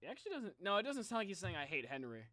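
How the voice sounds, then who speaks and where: Young guy streamer reacting live, super bright forceful voice, close dry mic, slangy n excitable. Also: high voice, rapid-fire, no echo. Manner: excitable, slangy, thinks aloud. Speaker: streamer reacting to game videos.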